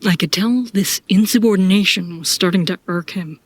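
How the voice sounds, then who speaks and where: A woman's voice: mid-range voice, moderate pace, no echo. A woman reading scripted first-person narration for an audio drama.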